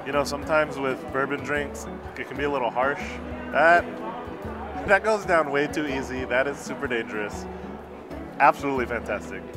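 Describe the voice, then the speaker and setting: A man's voice: medium pitch, normal speed, over music. A man in his thirties reviewing a restaurant meal, gushing about each dish, recorded on a lapel mic at a busy indoor restaurant. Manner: enthusiastic, gushing about food. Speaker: food vlogger.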